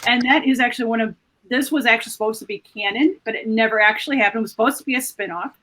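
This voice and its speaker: A woman's voice: a little high, racing, pushed and bright, slight background noise, rough recording. A woman speaking as a panelist, casually explaining and giving recommendations to fellow fans.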